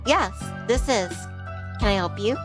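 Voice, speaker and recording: A woman's voice: medium pitch, animated, measured pace, over music, close to an everyday voice. A woman voicing a polite, eager young public relations worker, earnest and a little hesitant, in a visual novel. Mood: helpful, professional.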